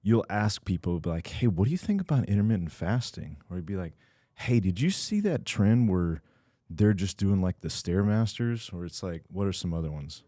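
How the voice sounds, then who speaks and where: A man with a very low, mellow voice hosts a casual podcast. He jokes around with his co-host, then settles into long explanations. The sound is close and dry. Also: very animated, normal speed, no echo. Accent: American. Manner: playful, teasing, then explains in long stretches.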